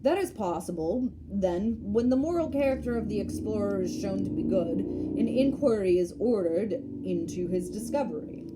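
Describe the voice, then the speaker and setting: A woman's voice: mid-range voice, lively intonation, moderate pace, few pauses, over music, natural voice. A woman voicing a self-important old male geographer from a storybook, lecturing with pedantic emphasis. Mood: pompous.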